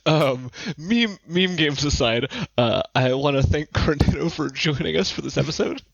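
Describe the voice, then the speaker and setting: A man hosts a podcast in a casual style. His voice sits a little high with plenty of fry, and it is recorded close and dry. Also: normal speed, no echo, dull audio. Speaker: podcast host. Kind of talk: casual chat.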